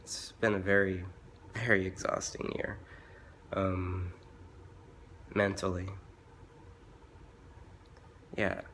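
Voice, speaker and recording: A hoarse young man who has been sick, talking haltingly with many fillers and restarts in a casual vlog from home. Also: low voice, level tone, fairly bright tone, slight background noise. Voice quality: rough-voiced. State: hoarse from illness. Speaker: vlogger.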